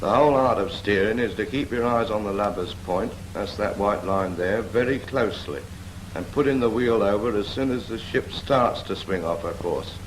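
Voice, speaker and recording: A man playing a patient, brisk Royal Navy instructor in a 1940s British training film, firm and fond of prompting with questions. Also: lowish voice, lightly acted. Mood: instructive. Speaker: naval steering instructor. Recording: noisy 1940s film soundtrack.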